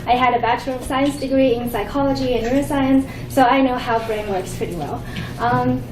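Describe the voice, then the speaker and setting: Earnest young woman with a Chinese accent. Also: high voice. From Shanghai, China. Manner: earnest, halting with restarts. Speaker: conference presenter. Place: conference room. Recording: echoey room from far off with hum.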